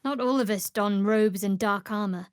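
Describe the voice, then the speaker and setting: An actress playing a guarded, sardonic young woman cleric devoted to a dark goddess in a video game, with dry, wry, measured delivery. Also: mid-range voice, dry recording, lightly acted. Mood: guarded, composed. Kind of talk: video game character voice lines.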